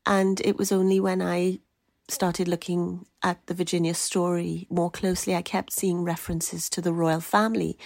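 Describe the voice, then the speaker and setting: Woman, researcher, low gravelly voice, dry close sound, measured and earnest. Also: darkish tone, no echo. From Trinidad. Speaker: researcher and writer. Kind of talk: interview answers.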